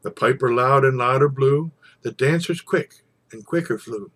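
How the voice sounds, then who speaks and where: A man reciting an old narrative poem in a theatrical storytelling style, with dramatic pauses and swells. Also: mid-range voice, fairly bright tone, husky. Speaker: poetry reader.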